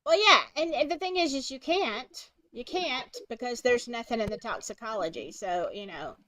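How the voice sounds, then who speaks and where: A woman's voice: a little high, animated, normal speed, a bit bright. A woman hosting a live stream, agreeing with her guest and reading out viewer questions. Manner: agreeable, reads out viewer comments.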